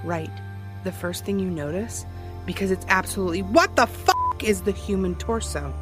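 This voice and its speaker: A woman's voice: medium pitch, lively intonation, normal speed, music in the background, lightly acted. A woman playing a wry, teasing small-town private eye, telling her story drily in a fiction podcast. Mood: dramatic storytelling. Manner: dry, teasing, storytelling for dramatic effect. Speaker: private investigator being interviewed. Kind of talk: fiction podcast acting, interview style.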